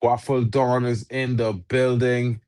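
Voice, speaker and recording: A man hosting a live football watch-along, reacting loudly to chances and chatting with guests, English not his first language. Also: medium pitch, flat delivery, slow pace. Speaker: watch-along stream host.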